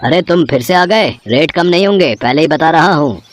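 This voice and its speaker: A man's voice: a little high, expressive, moderate pace, close to an everyday voice. A man playing a proud, rude, greedy street-food seller in a cartoon moral story, boastful and dismissive. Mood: suspicious. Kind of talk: acted Hindi moral-story cartoon dialogue.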